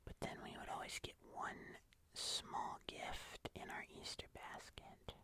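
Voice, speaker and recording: Casting: ASMR host, fully whispered delivery, breathy and gentle, casual rambling chat during a craft. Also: unhurried. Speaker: ASMR video creator.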